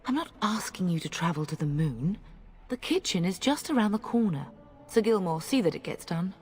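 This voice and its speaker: An actress voicing a brisk, refined noble mother in a fantasy video game, wry and commanding. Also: fairly low pitch, sing-song, a little mellow, soft background music.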